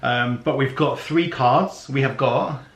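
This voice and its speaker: A man's voice: medium pitch, measured pace. A man vlogging, casually explaining travel plans with many ums. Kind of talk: casual planning chat. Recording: echoey room.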